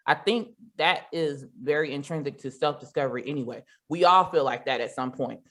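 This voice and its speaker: Blunt American woman, low-pitched podcast voice. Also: fairly quick, a bit bright. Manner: blunt, profane, emphatic. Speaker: podcast co-host. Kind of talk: casual podcast chat. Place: studio.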